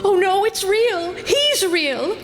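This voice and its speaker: Frightened daughter, female, actress in role: anxious, dramatic, very high animated voice, hall reverb, old radio play. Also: slow, over music, heavily acted. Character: nervous, frightened daughter being stalked. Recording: reverberant hall stage performance.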